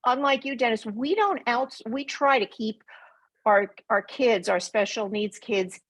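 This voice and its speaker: A woman with a husky voice, a school board chair speaking informally in a meeting discussion. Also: fairly high pitch, measured pace, fairly bright tone.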